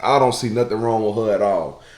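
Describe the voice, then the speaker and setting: A man's voice: fairly low pitch, brisk, darkish tone. A man giving a casual reaction in conversational slang, often seeking agreement, with the sound of the room around him. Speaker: reaction video commentator. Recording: roomy, echoing voice recording.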